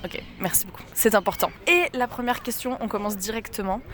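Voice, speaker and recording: A woman hosting a casual street interview, asking questions in a quick, chatty way and encouraging her guest with frequent agreeing murmurs. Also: mid-range voice, fairly bright tone, slight background noise. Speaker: interviewer, channel host. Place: outdoors on a busy city street.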